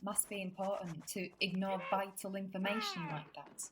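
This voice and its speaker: A woman's voice: medium pitch, very animated, normal speed, noisy background, lightly acted. A woman acting a curious, cultured wartime comrade in a radio drama, with an inquisitive, conversational manner. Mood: teasing, curious. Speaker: actor in a student radio drama.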